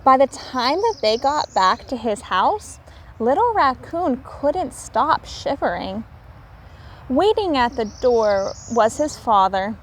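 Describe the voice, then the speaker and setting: Female read-aloud teacher: high, very animated, unhurried storytelling for children. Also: a little hiss or hum. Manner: expressive, deliberate.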